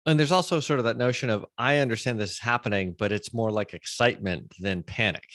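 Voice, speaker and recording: Low-voiced man hosting, dry close sound. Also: quick pace, fairly bright tone, no room sound. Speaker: podcast host. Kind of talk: conversational interview.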